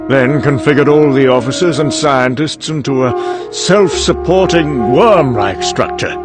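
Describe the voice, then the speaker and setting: An actor playing a cynical, boozy British scientist delivering a sardonic, erudite monologue in an audio comic. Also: male voice, medium pitch, a little slow, loud music behind the voice, lightly acted. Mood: wry storytelling. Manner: sardonic, erudite, with sudden bursts of temper.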